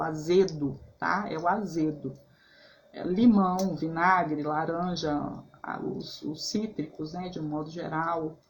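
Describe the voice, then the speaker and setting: A woman's voice: on the low side, slight background noise. A woman therapist explaining food and emotions in a chatty, conversational way, often checking in with "né?" and "tá?" and restarting her sentences. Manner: chatty, frequent "né" and "tá" tags, restarts. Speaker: holistic therapist. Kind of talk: casual explanatory talk.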